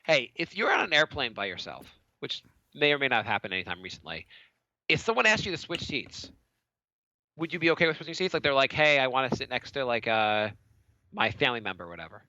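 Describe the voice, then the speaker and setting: A man's voice: medium pitch, quick pace, no room sound, dull audio. A man co-hosting a podcast, telling a story in casual chat and acting out other people's lines, a little congested from a cold. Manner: animated, acts out other people's lines in a raised voice. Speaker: podcast co-host.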